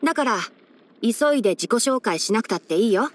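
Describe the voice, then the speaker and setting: A youthful fox-eared ranger boy from an anime game. The voice sits fairly high and boyish, with the matter-of-fact delivery of a helpful young scholar who explains things frankly and teases gently. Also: expressive, a little fast. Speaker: character voice actor. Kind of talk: anime game character voice lines.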